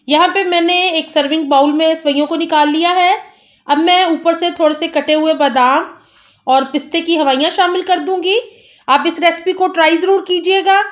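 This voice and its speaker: Cooking host: a high-pitched woman with an Urdu accent, gentle and polite, giving steady step-by-step recipe narration.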